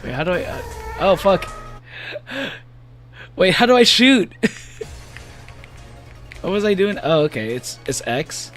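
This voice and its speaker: A man's voice: high voice, sing-song, slow, pauses often, a bit bright, soft background music. Laughing, rambling young-sounding male streamer. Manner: rambling, laughs often, many restarts and fillers. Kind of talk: casual live-stream chat while gaming.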